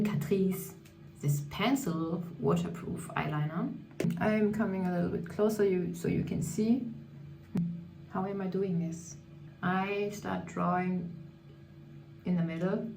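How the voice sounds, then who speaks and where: Woman doing her makeup on camera, english not her first language, mellow low-ish voice, echoey room, faint music behind. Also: creaky, far from the mic. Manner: reflective, candid, searching for words in English. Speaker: beauty vlogger. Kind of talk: casual chat while doing makeup.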